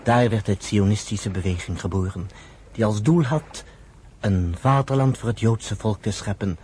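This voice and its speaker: A man's voice: lowish voice, normal speed, darkish tone, a little hiss or hum. A man narrating a radio drama in a scripted storytelling style.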